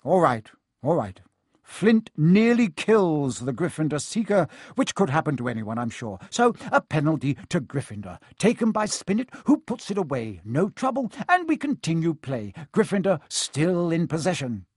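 A man's voice: a little high, very animated, moderate pace, breathy edge, no echo, studio quality. A man voicing a cheeky, biased schoolboy sports commentator in an audiobook, breathless and sardonic. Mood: grudging, sarcastic.

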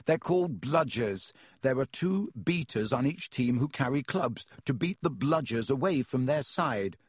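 A man reading an audiobook, voicing a young schoolboy who explains things flatly and patiently, sounding resigned. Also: medium pitch, a little slow, breathy edge, dry recording, studio quality. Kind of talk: audiobook character voice.

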